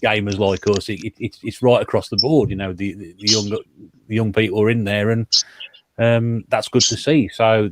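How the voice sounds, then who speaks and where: A man, speaking in a measured way in a casual video-call chat. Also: lowish voice, little pitch movement, low-quality recording. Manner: measured, lots of "you know".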